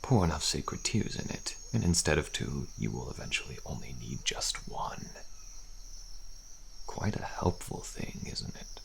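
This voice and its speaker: Frail old male deserter, ASMR fantasy roleplay: slow, wary, helpful, thick gravel, faint cricket noise behind. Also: sing-song, heavily acted, low-quality recording. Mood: patient, instructive. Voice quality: very deep, gravelly, breathy at times. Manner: slow, archaic, deliberate, often dropping to a murmur.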